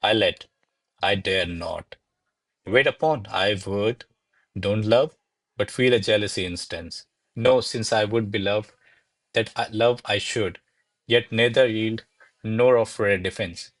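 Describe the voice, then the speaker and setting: A man acts out a diffident male secretary in a classic radio play. His voice sits fairly low, with formal, courtly phrasing and careful deference. The sound is dry, with little room around it. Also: measured pace, long pauses, no room sound. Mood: earnest, pensive. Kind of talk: stage verse drama read as radio play.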